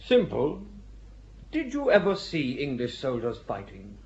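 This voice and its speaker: An actor playing a blustering squire who swings between bossy orders and exasperated hesitation in a radio drama. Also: male voice, medium pitch, wildly swooping pitch, measured pace, a little mellow, lo-fi sound. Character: blustering, self-doubting squire commanding a castle.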